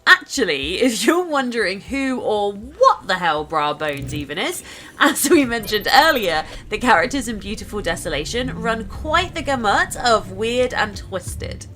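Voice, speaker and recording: A woman's voice: a little high, big pitch swings, unhurried, sharp tone, faint music behind. A woman narrating a gameplay video from a script, informal and chatty as she addresses viewers.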